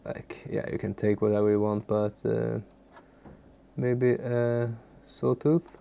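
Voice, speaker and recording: Man, native Swedish speaker, gravelly fry, unhurried halting delivery, informal tutorial, faint noise with keyboard clicks. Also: medium pitch, little pitch movement, dark tone. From Sweden. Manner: halting, searching for words, unassuming. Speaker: music software tutorial presenter. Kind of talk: informal screen tutorial, unscripted.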